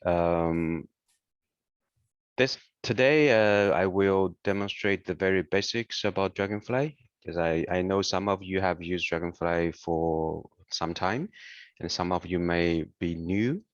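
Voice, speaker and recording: A man who speaks English as a second language, hosting an informal online tutorial in a careful, halting way. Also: sits low. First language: not English. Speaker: webinar host and software trainer. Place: indoor room, online webinar. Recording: muffled, roomy online call audio.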